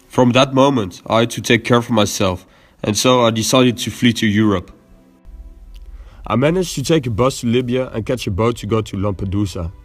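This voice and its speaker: A man playing a young war refugee, recounting his flight in an earnest, measured scripted monologue. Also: on the low side, no room sound, lightly acted. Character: young male war refugee, weary but hopeful.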